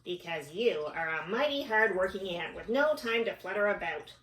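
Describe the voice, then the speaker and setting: A woman's voice: mid-range voice, animated, moderate pace, a bit bright, lightly acted. A woman voicing a kindly, forgetful male earthworm from a children's storybook in a plain, reassuring way. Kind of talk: children's storybook read-aloud. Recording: echoey room, distant mic, background noise.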